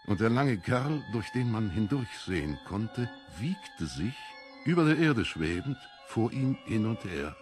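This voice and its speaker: A man narrating a literary audiobook in a deliberate, expressive, dramatic storytelling manner. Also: on the low side, faint music behind.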